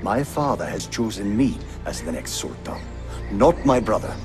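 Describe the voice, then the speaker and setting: A man's voice: lowish voice, expressive, moderate pace, over music, lightly acted. A man playing a proud, resentful Ottoman prince in a video game, speaking in an imperious, indignant manner. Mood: accusing. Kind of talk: video game cutscene acting.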